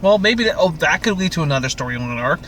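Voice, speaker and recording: A man's voice: fairly high pitch, expressive, fast talker, a bit bright, slight background noise. A man from Canada co-hosting a casual TV recap podcast, opinionated and swearing freely. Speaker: podcast co-host.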